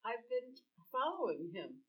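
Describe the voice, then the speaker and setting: A woman playing a dusty, peaceful grown man who has long followed a teacher, speaking in slow, earnest testimony. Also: fairly high pitch, sing-song, dull audio. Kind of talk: amateur church dramatic reading. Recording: voice from far off the mic.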